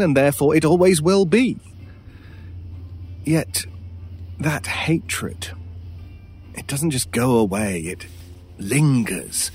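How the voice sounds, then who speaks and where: A slow, brooding British drifter. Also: male voice, mid-range voice, a little mellow. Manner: brooding, wry, portentous storytelling with dramatic pauses. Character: world-weary, haunted British drifter, a mysterious male stranger. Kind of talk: audio horror drama acting.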